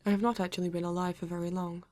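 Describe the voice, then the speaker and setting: A woman's voice: lowish voice, little pitch movement, darkish tone, dry recording, lightly acted. A woman playing a male android police detective with a British accent, formal, precise and proud yet earnest, in an ASMR roleplay. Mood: thoughtful, reserved. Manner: formal, precise, measured, avoids contractions.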